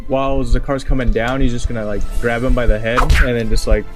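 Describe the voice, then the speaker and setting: Man casually playing over clear music. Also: medium pitch, little pitch movement, a little fast. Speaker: tabletop game player. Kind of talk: casual description of his character's actions. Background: background music.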